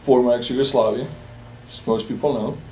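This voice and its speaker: A young man from Bosnia in a personal interview, speaking haltingly with many fillers and restarts. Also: mid-range voice, steady tone, dark tone. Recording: roomy echo, distant from the mic, faint hiss.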